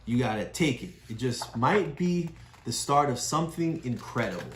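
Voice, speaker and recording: Low-voiced woman explaining to a child. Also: lively intonation, normal speed, very noisy. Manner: patient, explaining, repeats key phrases. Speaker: parent reading with a child. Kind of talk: talking through a picture book with a child. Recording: echoey room.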